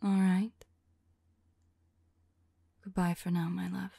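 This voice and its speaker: A woman playing a tender, devoted vampire lover in an intimate ASMR roleplay, speaking softly and affectionately, often in a whisper. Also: fairly low pitch, little pitch movement, dry recording, exaggerated voice. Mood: fond. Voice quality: soft, breathy, often whispering. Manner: slow, wistful, affectionate.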